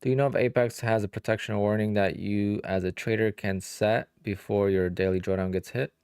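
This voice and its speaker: A man's voice: on the low side, steady tone, a little fast, darkish tone, smooth, no room sound, studio quality. A man in his thirties from Poland with a Polish accent, chatting casually on a live trading stream and answering viewers, sounding tired and sleepy. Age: 30s. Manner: chatty, answers viewer questions with many "like"s and "you know"s. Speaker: day trader streaming live.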